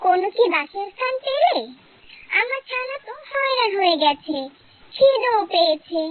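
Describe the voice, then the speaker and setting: A woman's voice: very high voice, lively intonation, moderate pace, no echo. A woman voicing an anxious, protective mother crow in a cartoon, with a plaintive, fretful manner. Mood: weary, worried. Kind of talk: cartoon story dialogue. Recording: muffled narrow-band, phone-like sound.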